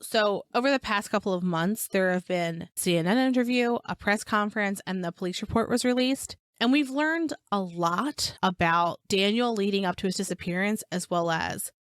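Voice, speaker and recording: A woman's voice: mid-range voice, moderate pace, few pauses, no room sound, clean studio sound. Blunt, swearing woman podcast co-host. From Maryland. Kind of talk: casual true-crime chat and case recap.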